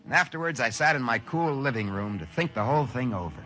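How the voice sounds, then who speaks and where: An actor playing an excitable ordinary man in a 1950s science fiction radio drama, narrating in a theatrical, wry way. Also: medium pitch, quick pace. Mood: musing, pleased. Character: excitable ordinary man narrating his sudden good fortune. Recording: old radio show with music behind.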